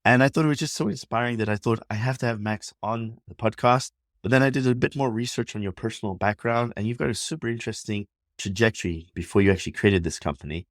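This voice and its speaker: A man's voice: on the low side, fast talker, dry recording, studio quality. A man hosting a podcast, reading a polished intro and then interviewing his guest with enthusiasm. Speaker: podcast host.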